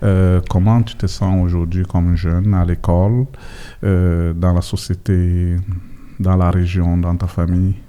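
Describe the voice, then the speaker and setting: A man with a deep voice hosts an interview podcast. His tone is dark and mellow, and he puts questions informally, often checking back with a short tag. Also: flat delivery, measured pace. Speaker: host.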